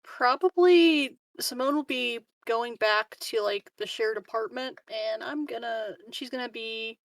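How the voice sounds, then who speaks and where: A woman playing a tabletop roleplaying game, casually describing what her character does in a giggly, halting way. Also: on the high side, no room sound, low-quality recording.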